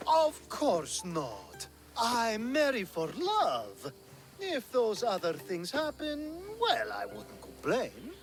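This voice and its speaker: A flirtatious, ambitious Athenian schemer in a video game. He has a high voice that swoops theatrically, slow and sly, with faint music behind. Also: huge pitch swings, vocal fry, exaggerated voice. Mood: sly, teasing. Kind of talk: video game cutscene acting.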